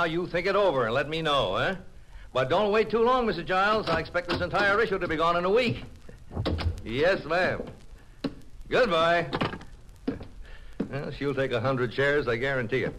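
A man's voice: on the high side, expressive, normal speed, pauses often, background noise, natural voice. A man playing a folksy, stubborn oil stock swindler with an American accent and a placating salesman's patter, in a vintage radio crime drama. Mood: smooth selling.

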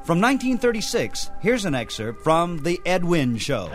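A man presenting a programme of old radio highlights in a scripted narrator's style. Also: sing-song, normal speed. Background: music.

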